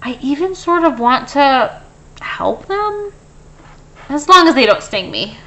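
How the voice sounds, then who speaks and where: A woman's voice: high voice, big pitch swings, slow pace, fairly bright tone, exaggerated voice, rough recording. A woman voicing a stubborn, fearful bee-hater from a children's picture book, protesting and doubtful. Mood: reluctant.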